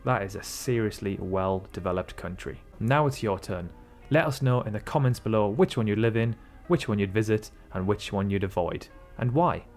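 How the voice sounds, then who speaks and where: A man's voice: on the low side, lively intonation, moderate pace, darkish tone. A man narrating a scripted country comparison in an upbeat, emphatic way. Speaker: video narrator. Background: faint background music.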